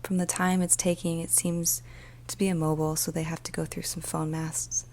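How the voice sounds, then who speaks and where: A woman voicing a weary, troubled police emergency dispatcher in a soft, often whispered ASMR storytelling monologue, speaking slowly and deliberately. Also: deep voice, flat delivery, a little hiss or hum, natural voice. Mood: hushed, tense. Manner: slow, hushed, deliberate, with pauses. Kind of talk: ASMR storytelling, first-person dramatic monologue.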